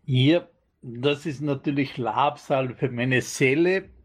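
A man speaking in a live online radio chat, making dry, unhurried remarks. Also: medium pitch, husky. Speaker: radio show guest. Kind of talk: casual live broadcast chat.

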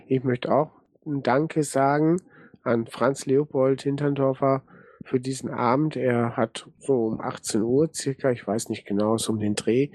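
A man's voice: medium pitch, a little mellow, no room sound. A man hosting a live online radio show in a casual, rambling way, thanking his guests and listeners. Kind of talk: casual live broadcast chat.

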